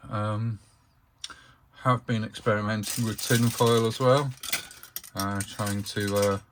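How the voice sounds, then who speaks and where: A man giving a casual, unscripted hands-on demonstration of his radio collection, speaking in hesitant, halting phrases. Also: fairly low pitch, fairly even intonation, fairly bright tone, a little hiss or hum.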